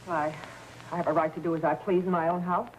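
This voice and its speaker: Actress as haughty suspect wife, lowish pitch, defensive, evasive, 1950s crime drama, faintly noisy soundtrack. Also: female voice, flat delivery, fast talker, natural voice. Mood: indignant. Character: indignant, evasive wealthy wife suspected of murder.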